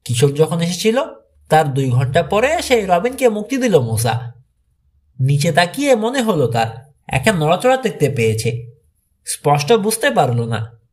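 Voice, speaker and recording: A man reading a mystery thriller audiobook as a dramatic scripted narration. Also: on the high side.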